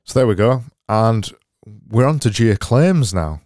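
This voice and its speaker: A man with a Barnsley accent hosting his own podcast, talking alone in a sarcastic, deadpan, self-mocking way. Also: lowish voice, unhurried, no room sound. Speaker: podcast host. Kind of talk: solo comedic podcast monologue.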